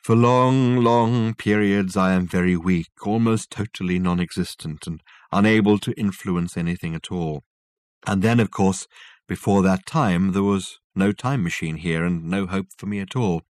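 A man voicing an ancient male alien ghost in an audiobook, grave and formal, with measured, solemn speech. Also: sits low, a little mellow, dry recording, natural voice. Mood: weary, solemn.

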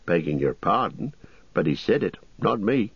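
A man voicing a fussy, apologetic middle-aged innkeeper who chatters and rambles, with a husky voice. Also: low-pitched, big pitch swings, normal speed, no echo, dull audio, full character voice. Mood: fussy, rambling.

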